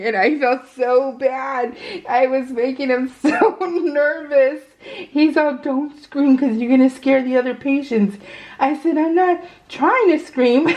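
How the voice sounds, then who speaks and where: A woman vlogger telling a nervous personal story in a casual voiceover, laughing often and restarting her sentences. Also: a little high. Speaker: crafting vlogger. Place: home craft room. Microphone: voice-over mic.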